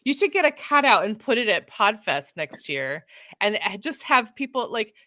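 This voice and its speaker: A woman's voice: a little high, very animated, normal speed, bright, forceful tone, dry recording, studio quality. An American woman chatting casually as a podcast guest, informal and enthusiastic in manner. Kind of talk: casual chat.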